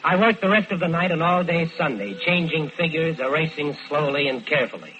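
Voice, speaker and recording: An actor playing a smooth-talking American killer who tells his own crime in vintage radio drama style. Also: fairly high pitch, expressive, fairly quick, a bit bright, soft background music. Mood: tense, confessional. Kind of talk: 1940s-50s radio crime drama acting. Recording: dull lo-fi old radio recording.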